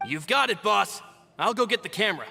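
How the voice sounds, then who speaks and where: An actor voicing a loyal, practical male assistant in a video game, speaking in a polite, measured way. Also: sits high, animated, creaky, pushed and bright, long reverb tail, faint music behind, heavily acted.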